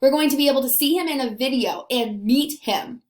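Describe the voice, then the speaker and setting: A woman teacher leading a read-aloud lesson for young children, stressing key words and putting questions to her pupils. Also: high voice. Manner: slow, deliberate emphasis with questions to pupils. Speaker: elementary school teacher. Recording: roomy, echoey sound.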